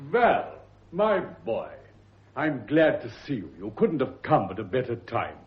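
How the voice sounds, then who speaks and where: An actor playing a genial, avuncular older country doctor in a radio drama, self-assured. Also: male voice, mid-range voice, sing-song, measured pace, slight background noise. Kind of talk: British radio drama acting. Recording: dull, lo-fi radio recording.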